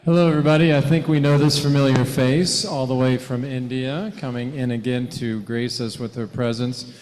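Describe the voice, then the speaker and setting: A man's voice: mid-range voice, fairly even intonation, moderate pace, darkish tone. A man at a club meeting introducing a returning guest over a passed-around microphone. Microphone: handheld mic passed around. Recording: reverberant hall from off-mic.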